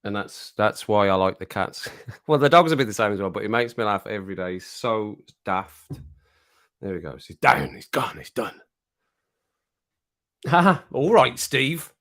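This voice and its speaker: A man hosting a casual livestream, his voice a bit croaky from singing, chatting to viewers at home and laughing often. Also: on the low side, very animated, a little slow, a bit bright. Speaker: livestream host reading chat. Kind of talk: casual chat to a live audience. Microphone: home streaming mic with compressor.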